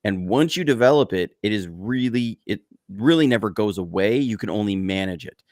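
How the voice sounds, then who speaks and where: A man's voice: fairly low pitch, expressive, moderate pace, a little mellow, no echo, clean studio sound. A man about to turn forty, co-hosting a podcast and chatting casually in a rambling, reflective way with many restarts. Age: 30s. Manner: rambling, self-correcting, reflective. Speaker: podcast co-host. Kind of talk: casual chat.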